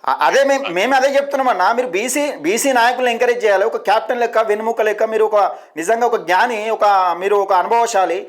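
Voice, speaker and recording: Male journalist, Telangana Telugu accent. High register, extremely quick, presses his point repeatedly while staying deferential on a phone call. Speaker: journalist on a live show, calling a political leader. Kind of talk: argumentative phone discussion.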